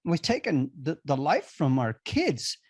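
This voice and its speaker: A man's voice: fairly high pitch, sing-song, moderate pace, husky, dry recording, studio quality. A man hosting a podcast interview, talking earnestly and emphatically in a casual conversational way. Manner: earnest, emphatic, builds to indignation. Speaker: podcast host.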